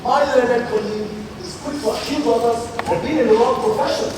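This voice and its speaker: A man's voice: very high-pitched, fairly quick. An actor playing a sarcastic, aggrieved prosecutor in a satirical stage play, a man with a Nigerian accent arguing pompously and emphatically. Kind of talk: projected theatrical courtroom argument. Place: large theatre stage, picked up from afar. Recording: far-off echoey hall recording, noisy.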